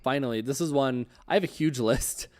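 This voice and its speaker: A man's voice: medium pitch, fairly quick, darkish tone. A man hosting a livestream interview, chatting casually as he puts questions to a guest.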